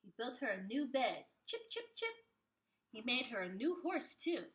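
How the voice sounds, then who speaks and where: A woman reading a picture book aloud to young children like a preschool teacher, with expressive, animated phrasing. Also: fairly high pitch, normal speed.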